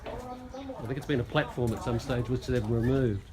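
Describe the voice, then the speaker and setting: A man's voice: medium pitch, sing-song, normal speed, crowd noise. Casual travel vlogger from New Zealand, male. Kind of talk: casual chat with locals while filming. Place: outdoors at tourist sites.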